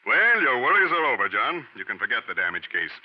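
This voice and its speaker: A folksy, chuckling police lieutenant swings his pitch widely as he baits a suspect. The sound is dull and dated, like an old radio play. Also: sing-song, fast. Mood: sly. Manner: chuckling, folksy, cat-and-mouse. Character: jovial, sly police lieutenant, man. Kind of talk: 1940s radio acting. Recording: dull vintage radio recording.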